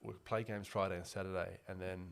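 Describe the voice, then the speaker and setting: A man's voice: low-pitched, level tone, fairly quick, gravelly, clear, resonant tone. A young man, co-hosting a casual sports podcast in an upbeat, chatty way and laughing easily. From New Zealand. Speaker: podcast co-host.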